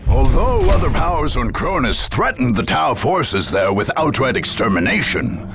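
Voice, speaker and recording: Casting: grave war chronicler for game cutscenes; gravelly, portentous, measured, with dramatic swings in pitch. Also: male voice, big pitch swings, a bit bright, exaggerated voice. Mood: grave, solemn. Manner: solemn, measured, portentous delivery. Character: grave male chronicler of grim far-future wars. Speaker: narrator. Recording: music behind the voice.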